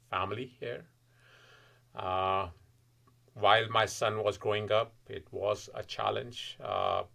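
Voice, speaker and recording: Gravelly Indian man telling his story. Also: on the low side, a bit bright. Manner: measured, reflective, frequent uh fillers. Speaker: guest. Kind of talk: personal storytelling in interview.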